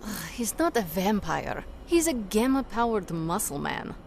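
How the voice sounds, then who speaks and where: A woman's voice: mid-range voice, big pitch swings, measured pace, vocal fry, slight background noise. A woman voicing a bold, dry-witted warrior superhero in a video game, terse and confident. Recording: loud music and effects behind.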